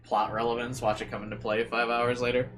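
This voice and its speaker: A man's voice: mid-range voice, flat delivery, brisk, fairly bright tone. A man doing casual livestream commentary and reading a visual novel aloud, rambling and incredulous in manner. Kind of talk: casual livestream commentary mixed with read-aloud game narration.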